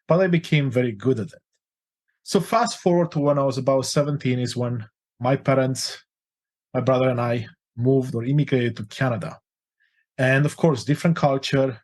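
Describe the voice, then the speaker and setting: A man's voice: mid-range voice, a little mellow. A forty-year-old man from Romania, a life coach telling his life story in an interview, earnest and open. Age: 40s.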